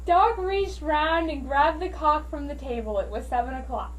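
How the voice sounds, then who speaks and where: A woman's voice: high voice, normal speed, distant mic. A woman giving a dramatic reading of a story as an amateur narrator. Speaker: amateur reader of fan fiction.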